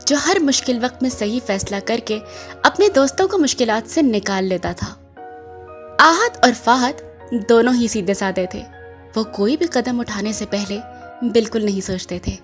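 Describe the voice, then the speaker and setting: A woman reading scripted narration for a story in an audio drama. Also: a little high.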